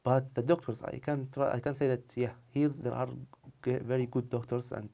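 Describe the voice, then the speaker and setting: A man in his twenties with an Arabic accent, answering interview questions in halting, repetitive non-native English. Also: medium pitch, flat delivery, dark tone. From Saudi Arabia, of Tunisian family. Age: 20s. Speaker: interview guest, medical student. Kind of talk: conversational TV interview. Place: dark TV studio, indoors. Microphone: lapel mic.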